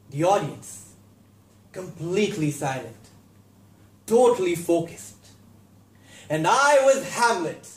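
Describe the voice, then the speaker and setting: A man performing a theatrical monologue as an anxious, self-deprecating young stage actor, confessional and halting with bursts of intensity. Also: on the high side, voice pushed up. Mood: wistful, exhilarated. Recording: echoey room.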